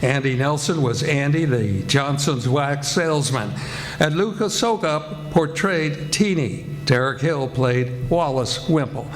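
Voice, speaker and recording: Slow, higher-pitched male old-time radio announcer. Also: slight background noise. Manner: formal, presentational. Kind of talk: 1940s radio announcing, scripted. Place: live stage performance before an audience. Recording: live show, loud music and audience.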